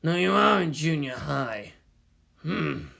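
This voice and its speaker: Guy doing a chill wry older-brother type man in an anime story, dry and musing, lively pitch. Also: takes it slowly, vocal fry, heavily acted. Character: laid-back, wry adult man, teasing boys.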